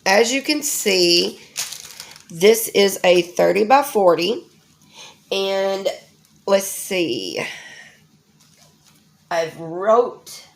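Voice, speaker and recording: Woman host, middle-aged, nasal with a cold, unhurried homey chatter with plenty of ums. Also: medium pitch, lively intonation, vocal fry. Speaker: crafting channel host. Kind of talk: casual unboxing vlog.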